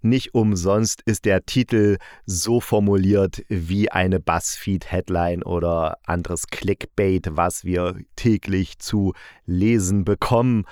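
Low-voiced man in a dry, close recording. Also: no room sound. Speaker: host reviewing audio dramas. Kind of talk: casual spoken review, retelling plots.

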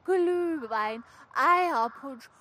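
A woman voices a pompous storybook hare with a high, forceful voice and lively swings. There is some noise in the recording. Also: very slow, exaggerated voice. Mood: boastful. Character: boastful Easter hare fond of boozy drinks. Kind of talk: children's audio play acting.

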